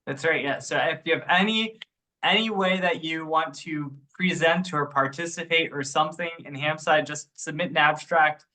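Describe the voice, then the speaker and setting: A man's voice: a little high, moderate pace, bright, forceful tone, low-quality recording. A man chatting casually as an organizer on an online meeting. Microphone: teleconference connection.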